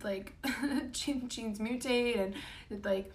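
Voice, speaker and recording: A young woman with a raspy, low voice, talking casually about her life with many ums and likes. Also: slow pace. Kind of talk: personal vlog talk. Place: home room.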